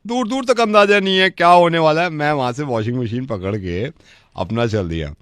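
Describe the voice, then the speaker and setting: A man making a prank phone call, telling a rambling story insistently and repetitively in a mock-earnest deadpan way. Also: on the high side, fairly quick, dry recording, studio quality. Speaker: radio prank caller.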